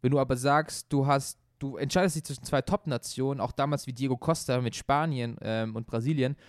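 A man's voice: medium pitch, darkish tone, dry recording, clean studio sound. A young man co-hosting a casual football podcast, opinionated and rambling, often restarting his sentences. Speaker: podcast co-host.

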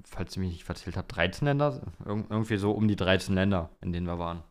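A man's voice: low-pitched, animated, fast. A man co-hosting a podcast in casual, opinionated and teasing conversation, mixing in English words. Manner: mixes English words into German. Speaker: podcast co-host. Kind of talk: casual chat about motor racing.

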